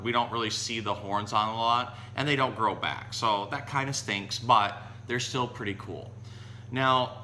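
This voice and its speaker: A man's voice: on the low side, pushed and bright. A man hosting as a zookeeper, explaining animals in casual, educational talk. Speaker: zookeeper host. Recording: echoey room with faint hum.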